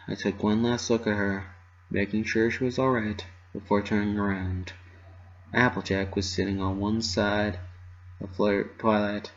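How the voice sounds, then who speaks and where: A man's voice: fairly low pitch, muffled recording. A man giving a dramatic reading of a story, narrating in the first person. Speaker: amateur fan fiction reader.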